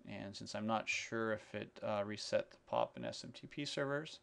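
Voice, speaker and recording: Low, gravelly-voiced man explaining software. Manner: halting, explanatory, step-by-step. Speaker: software demonstrator. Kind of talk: unscripted screencast tutorial. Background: keyboard typing and mouse clicks.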